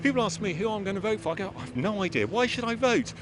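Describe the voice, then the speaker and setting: A man's voice: high-pitched, expressive, fast talker, music in the background. A man interviewed outdoors as a voter, speaking in an indignant, insistent way.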